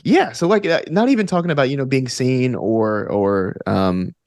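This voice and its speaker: A man's voice: mid-range voice, lively intonation, moderate pace, darkish tone, no echo, clean studio sound. A man from the American South chatting informally and enthusiastically as an interview guest, often saying "like" and "you know". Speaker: podcast guest, actor and gamer. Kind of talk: casual interview chat.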